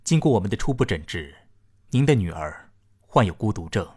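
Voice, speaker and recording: An actor playing a calm, formal doctor in a science-fiction radio drama, speaking in a measured, polite, clinical way. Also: male voice, on the low side, slightly rough. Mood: clinical, patient. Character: calm, formal male doctor delivering a diagnosis.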